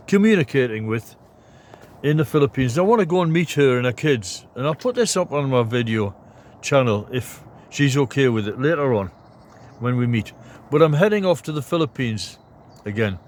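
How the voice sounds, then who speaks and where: A man in his seventies talking casually to camera in a rambling, chatty vlog, clearing his throat now and then, inside a large cabin. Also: mid-range voice. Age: 70s. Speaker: vlogger.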